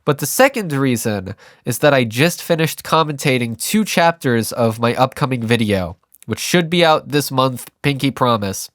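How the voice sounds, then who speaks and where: A young man with a hoarse, stuffed-up voice rants with blunt, profane sarcasm. His pitch swings widely as he talks, and the recording is close and dry with no room sound. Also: animated, moderate pace, fairly bright tone, no echo. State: hoarse and congested from a sinus illness. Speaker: YouTube ranter. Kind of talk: casual rant to camera.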